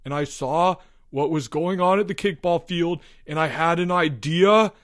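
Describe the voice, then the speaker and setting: A man's voice: mid-range voice, takes it slowly, a bit bright, voice pushed up. A man voicing a frightened, guilt-ridden schoolboy in a story, stammering and pleading his innocence. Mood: panicked, pleading.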